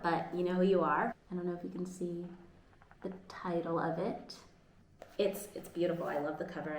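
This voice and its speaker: A woman's voice: fairly low pitch, a little hiss or hum. An American woman vlogging casually at home, earnest and upbeat, sometimes restarting her sentences. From United States. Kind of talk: casual vlog talk. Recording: echoey room with faint ambience.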